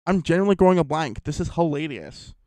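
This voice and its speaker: A man's voice: fairly high pitch, animated, fast talker, darkish tone, dry recording. A man giving a casual, rambling film review, full of fillers and restarts.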